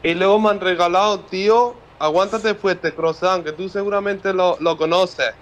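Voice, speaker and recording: A man's voice: high voice, fairly even intonation, normal speed, lo-fi sound. A man on voice chat joking about his Christmas gifts, mixing Spanish with bits of German. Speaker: caller on voice chat. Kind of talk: casual chat.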